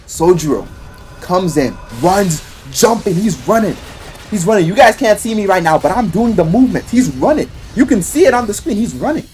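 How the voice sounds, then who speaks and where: A man casually retelling a video game story in an animated, rambling, slangy way, with plenty of swearing. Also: high voice, normal speed, faint music behind. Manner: animated, exaggerated, swearing, acts out quotes in mock voices. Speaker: game reviewer.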